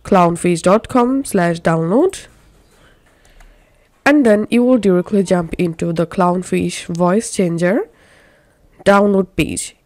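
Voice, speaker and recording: A woman presents a software tutorial step by step. She speaks slowly and deliberately, giving plain instructions. The sound is close and dry. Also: fairly low pitch, no room sound.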